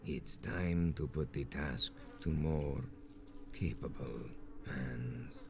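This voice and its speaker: Very deep, menacing vampire zealot. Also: male voice, slow, creaky, background noise, heavily acted. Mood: commanding, fierce. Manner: grandiose, solemn, archaic formal oratory. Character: menacing, zealous male vampire sect leader, ancient and commanding. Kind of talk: dramatic video game villain acting.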